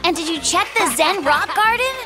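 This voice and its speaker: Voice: very high voice, animated, normal speed. An actor voicing a laid-back, crafty young male bear cub in a children's cartoon, with a casual, coaxing drawl. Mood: coaxing, sly. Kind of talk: children's animated cartoon voice acting. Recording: music playing behind the voice.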